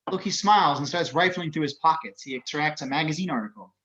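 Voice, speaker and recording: A man's voice: fairly high pitch, fast talker, a bit bright, highs cut off. A man narrating screenplay action descriptions as scripted narration. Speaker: narrator of stage directions.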